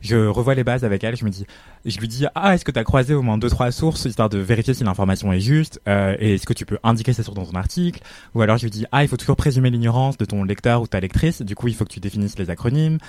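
A man's voice: medium pitch, fast, dry recording. A man co-hosting a podcast, chatting casually and enthusiastically with many fillers and restarts. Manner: animated, lots of fillers and restarts. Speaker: podcast co-host, fashion journalist. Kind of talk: casual chat.

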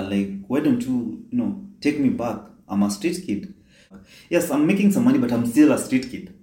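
Kenyan man in his twenties, halting. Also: mid-range voice, mellow, echoey room. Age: 20s. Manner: halting, many fillers and restarts. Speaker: migrant telling his life story. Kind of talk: personal storytelling.

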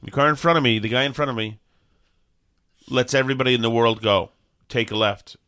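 A man's voice: mid-range voice, a little fast, pushed and bright. A man co-hosting a casual live-stream chat, ranting sarcastically while coughing and losing his voice. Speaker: co-host.

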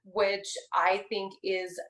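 A woman in her thirties speaking earnestly and measuredly in a casual discussion, pausing often with ums. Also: mid-range voice, flat delivery, fairly bright tone, reverberant.

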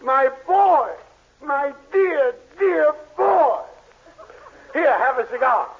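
A man's voice: very high-pitched, expressive, extremely slow, a little hiss or hum, full character voice. An actor playing a greedy, blustery male drugstore boss with an exaggerated manner in a 1940s radio sitcom. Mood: greedy, excited. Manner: exaggerated, gushing then curt. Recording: muffled 1940s radio broadcast recording.

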